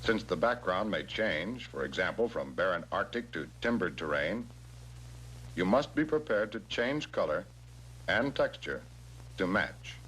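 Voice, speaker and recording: An American man giving measured, instructional narration in the scripted style of a 1960s military training film, stressing key words. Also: sits low, sing-song, a bit bright. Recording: noisy 1960s film soundtrack with hum.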